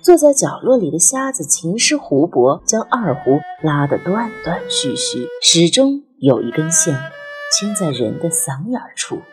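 A woman a breathy voice and reads literary narration in a measured, expressive, dramatic way, as an audiobook narrator. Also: on the low side. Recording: music under the voice. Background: background music.

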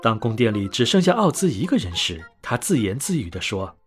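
A man narrating a scripted bedtime story for children in an expressive, clearly phrased way. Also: mid-range voice, fairly quick. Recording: soft music under narration.

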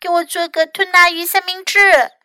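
A tiny little fox boy from a storybook, as a woman puts him on. The voice is squeaky and lifted very high, bright and small. He sounds plaintive and demanding, like a whiny child giving orders. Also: flat delivery, normal speed, no echo, studio quality. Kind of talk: bilingual children's storybook voicing.

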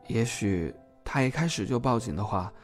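A man's voice: mid-range voice, lively intonation, normal speed, faint music behind, lightly acted. A man acting a thoughtful, questioning colleague of an investigator in a Chinese audio drama. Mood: reflective.

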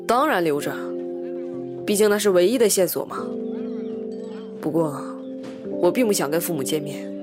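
A woman plays a wary young man in a mystery radio play, music clearly behind the voice. He is guarded and matter-of-fact, driving a bargain in a light, androgynous tone. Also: lowish voice, animated, normal speed, long pauses, close to an everyday voice. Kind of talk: audio drama dialogue.

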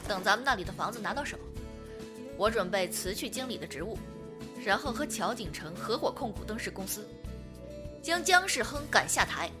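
A woman's voice: medium pitch, big pitch swings, moderate pace, over music, close to an everyday voice. A woman acting a confident, playful, reassuring woman in her thirties in a Chinese audio drama. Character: lively, confident woman of thirty-three devoted to her girlfriend.

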